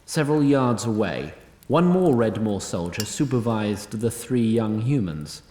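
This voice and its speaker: A man's voice: fairly low pitch, animated, a little slow, dark tone, reverberant. A man narrating a scripted fantasy tale in a theatrical, literary and wry manner.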